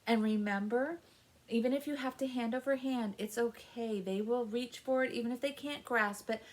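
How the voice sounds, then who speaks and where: High-voiced middle-aged woman leading story time. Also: normal speed, darkish tone. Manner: encouraging, clear simple explanations, sing-song delivery. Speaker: children's librarian leading baby story time. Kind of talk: instructional talk to parents with songs and rhymes. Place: small indoor room with children's alphabet backdrop.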